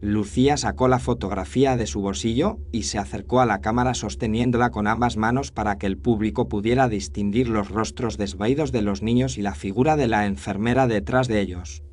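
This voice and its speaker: A man's voice: on the low side, fairly even intonation, brisk, hardly any pauses, a little mellow. A man reading a scripted first-person horror story as its narrator. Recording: clear noise and ambience behind voice.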